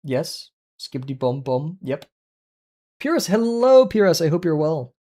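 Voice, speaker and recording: A man's voice: a little high, sing-song, unhurried, mellow. A young man streaming from a small home room as he draws, chatting in a rambling, casual way full of fillers and self-deprecating asides into a headset mic. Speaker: art streamer. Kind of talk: casual livestream chat while drawing. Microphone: headset with boom mic.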